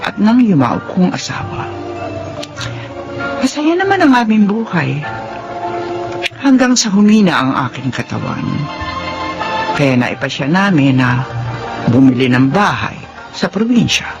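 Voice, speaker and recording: A woman playing a gentle, frail older widowed mother in a Filipino radio drama, with a creaky voice. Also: lowish voice, sing-song, unhurried, long pauses. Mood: reflective. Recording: music bed behind voice.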